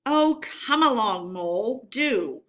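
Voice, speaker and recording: A woman voicing a cheerful male rat from a storybook, brisk, chummy and insistent. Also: on the high side, animated. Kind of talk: storybook character voice.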